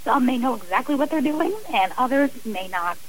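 A woman with a fairly high voice talks as a guest on a radio interview. She is earnest and emphatic, and she often repeats phrases to drive a point home. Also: lively intonation, brisk.

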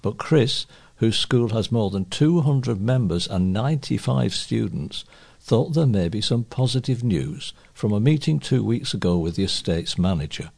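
Man, lowish pitch, rough husky tone, reading local news stories aloud, volunteer newsreader. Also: expressive, moderate pace, a little mellow. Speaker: volunteer newspaper reader.

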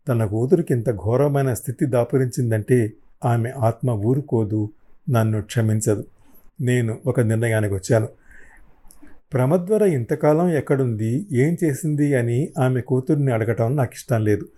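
A man's voice: mid-range voice, mellow. A man reading a novel as an audiobook, narrating dramatically in the first person.

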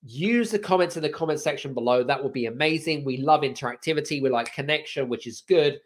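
An energetic male podcast host with a croaky voice, speaking a little higher than most men. He rambles cheerfully, full of fillers and false starts. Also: fast talker. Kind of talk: casual chat.

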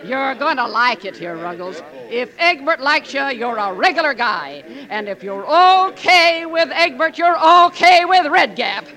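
A bright, forceful older frontier woman who takes her time and swings widely in pitch. She is plain-spoken, bossy and welcoming, in the manner of 1940s radio drama. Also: lively intonation, full character voice, rough recording. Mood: folksy, blunt. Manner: folksy, blunt, drawn-out delivery. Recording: 1940s radio broadcast recording.

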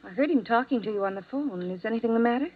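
A woman playing a prim, puzzled doctor's nurse in a 1950s radio drama, with a breathy voice. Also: fairly high pitch, racing, lightly acted. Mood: polite, concerned. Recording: thin, phone-like old 1950s radio recording.

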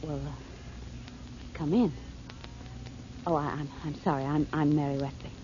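A woman's voice: sits low, steady tone, a little slow, mellow. A flustered, stammering young lady. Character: flustered young woman, detective's companion. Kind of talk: 1940s radio acting. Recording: muffled old radio broadcast, faint hiss.

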